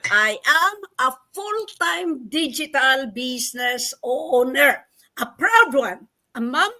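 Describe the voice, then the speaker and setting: A woman's voice: high-pitched, expressive, slow, bright, forceful tone. An older woman from the Philippines, a grandmother, co-hosting a live online webinar in an encouraging manner. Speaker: co-host.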